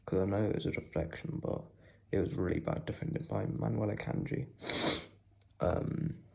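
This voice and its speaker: A young man with a cold and sore throat, talking quietly and casually about a football match, with lots of ums. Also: lowish voice, steady tone, normal speed, creaky. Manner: speaks quietly, holding back volume; rambling with many ums. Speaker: football fan vlogger. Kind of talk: casual post-match reaction.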